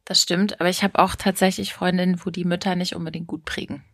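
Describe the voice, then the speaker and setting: A woman's voice: on the low side, level tone, quick pace, fairly bright tone, no room sound, clean studio sound. Frank, teasing young woman podcaster. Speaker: podcast co-host. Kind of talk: casual chat.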